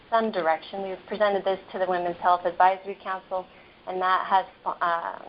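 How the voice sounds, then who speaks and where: A soft-spoken woman epidemiologist presenting slides in a measured, explanatory way, with frequent ums and restarts. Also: fairly low pitch. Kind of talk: slide presentation over a phone line. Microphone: phone on the table. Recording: muffled phone line, faint noise.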